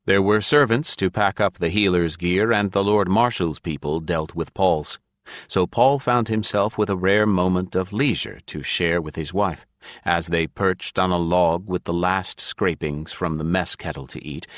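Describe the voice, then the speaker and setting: A man's voice: low voice, a little slow, fairly bright tone, no room sound. A man reading a fantasy novel as a scripted audiobook narration.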